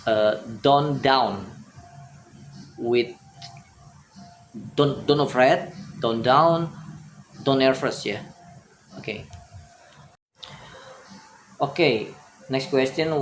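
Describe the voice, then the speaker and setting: A young man whose first language is Indonesian, speaking English with an Indonesian accent as a student presenter, reading answers aloud and adding his own comments, halting and often repeating words. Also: mid-range voice, a little hiss or hum.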